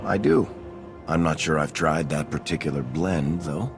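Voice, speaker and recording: A reserved game commander, really low voice, matter-of-fact, soft music under it. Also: male voice, normal speed, gravelly. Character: steady, reserved male military commander. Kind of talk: scripted game cutscene dialogue.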